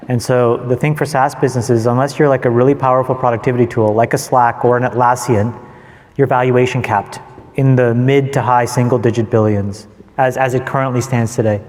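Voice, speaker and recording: A man's voice: medium pitch, fairly even intonation, a little fast, a little mellow. A man co-hosting a live panel, explaining points by posing questions and answering them himself. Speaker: podcast co-host on panel. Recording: reverberant conference hall.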